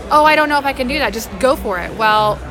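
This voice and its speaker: A woman's voice: sits high, expressive, fast talker, low-quality recording. A woman being interviewed at a busy convention, chattily telling a personal story and acting out bits of dialogue. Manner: chatty, acts out quoted dialogue. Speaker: interview guest, editor in training. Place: busy public convention space. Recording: busy public space with loud music, chatter. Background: music and crowd chatter.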